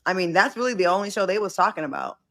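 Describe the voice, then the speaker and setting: A woman's voice: medium pitch, lively intonation, rapid-fire, a bit bright. A woman with a New England accent, chatting casually and laughing at herself as a co-host. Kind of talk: casual chat.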